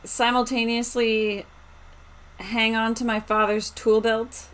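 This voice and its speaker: A woman's voice: fairly high pitch, little pitch movement, slow, a bit bright. A woman chatting casually as a player in a tabletop roleplaying game. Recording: faint noise on home microphone.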